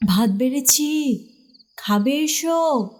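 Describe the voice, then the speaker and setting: A woman's voice: sits high, expressive, drawn-out words, a little mellow, heavily acted. A woman playing a mysterious, alluring young village woman in a ghost story, coaxing and homely in manner. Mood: soft, inviting. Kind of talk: dramatised Bengali ghost story.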